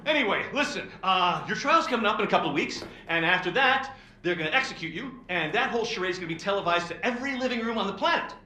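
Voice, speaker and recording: A wired, foul-mouthed rebel posing as a lawyer in a game cutscene. His pitch swings wildly and the tone is bright and sharp, in a roomy, echoing space. He rattles on in animated street slang. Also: fast talker, full character voice. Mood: sardonic, urgent. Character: fast-talking sweary rebel posing as a lawyer, wild and wired. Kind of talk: video game cutscene acting. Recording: roomy echo.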